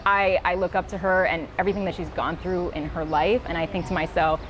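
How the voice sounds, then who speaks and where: A woman's voice: lowish voice, fairly even intonation, brisk, dull audio, slight background noise. A woman, a news anchor, speaking earnestly and emphatically in a casual, heartfelt panel conversation. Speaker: TV news anchor and working mother.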